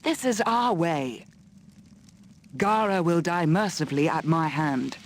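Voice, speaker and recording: Proud, measured warrior woman played by an actress. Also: fairly low pitch, slight background noise, natural voice. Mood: solemn, resolute. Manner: measured, formal, resolute. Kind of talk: video game fantasy acting.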